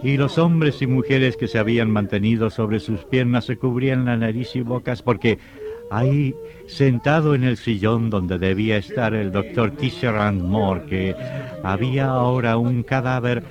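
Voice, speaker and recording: A man's voice: medium pitch, normal speed, close to an everyday voice. A man playing an ominous storyteller, narrating an old radio horror drama in a dramatic, literary style. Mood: dramatic, horrified. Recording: dull old radio recording, loud music.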